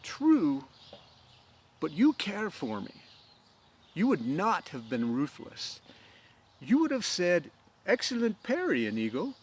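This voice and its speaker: A man's voice: a little high, huge pitch swings, slow pace, pauses often, a little hiss or hum, pitched above the performer's own voice, heavily acted. A man voicing an earnest, driven young swordsman in a storybook reading, eager and rambling. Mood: eager, reckoning. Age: young adult. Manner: eager, rambling, counting aloud. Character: earnest, driven young swordsman bent on avenging his father.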